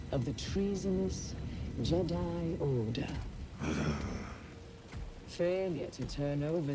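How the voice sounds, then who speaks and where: A cold female villain from a video game, delivers formal threats at a very slow, measured pace. She sounds commanding and menacing. There is clear rainy noise around the voice. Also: lowish voice. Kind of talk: video game cutscene acting.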